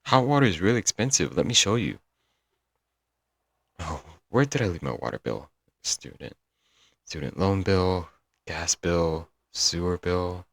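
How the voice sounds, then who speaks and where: Young male yandere stalker, played by a man: very low, dry-recorded, doting, earnest, clingy, for intimate audio roleplay. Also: expressive, unhurried, gravelly, long pauses, fairly bright tone, breathy edge, no echo, heavily acted. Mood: flustered. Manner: doting, earnest, dramatic with sighs and chuckles. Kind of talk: intimate ASMR audio roleplay, scripted monologue.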